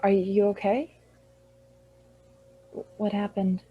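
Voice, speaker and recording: An actress in a radio drama playing a young woman's loyal best friend, speaking softly. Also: mid-range voice, little pitch movement, takes it slowly, lo-fi sound, slight background noise, natural voice. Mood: concerned. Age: young adult.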